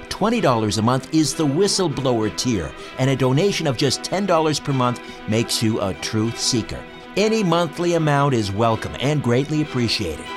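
A man hosting a talk podcast, delivering scripted narration and sponsor reads. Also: medium pitch. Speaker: podcast and radio host.

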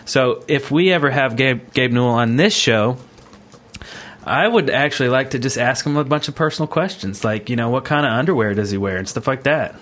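A man's voice: medium pitch, fairly quick, fairly bright tone. A man co-hosting a podcast in a casual, conversational, rambling way. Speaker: podcast co-host.

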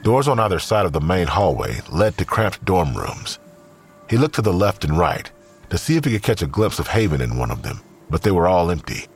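A man narrates an audio drama from a script. His voice is deep and extremely raspy, yet it moves with lively, expressive intonation. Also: brisk, faint music behind.

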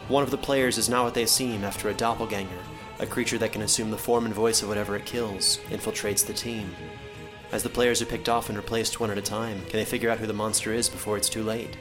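Low-voiced man reading an ominous promo. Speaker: podcast promo narrator. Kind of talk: scripted promo narration.

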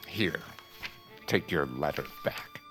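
An actor playing a flustered, pompous cabinet secretary with an indignant manner in an audio drama. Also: male voice, extremely low pitch, sharp tone, exaggerated voice. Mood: grudging.

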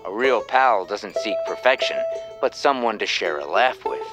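A man playing a folksy, wistful old-time radio announcer, delivering philosophical lines in a measured, theatrical way. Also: on the low side, animated, close to an everyday voice. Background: plucked string music.

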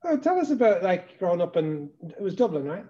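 High-voiced, mellow middle-aged man hosting. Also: sing-song, fairly quick, muffled recording. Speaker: podcast host. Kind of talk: casual conversational interview.

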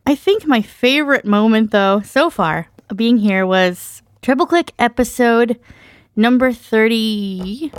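A woman's voice: a little high, measured pace, clear, resonant tone, dry recording. Easygoing podcast lady chatting, dry close sound, no room at all. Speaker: co-content director. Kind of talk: casual podcast chat.

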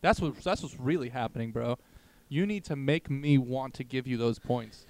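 A man's voice: mid-range voice, normal speed, a little mellow, no echo. A young man hosting a comedy podcast, chatting casually with crude, slangy banter. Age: young adult. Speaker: podcast host.

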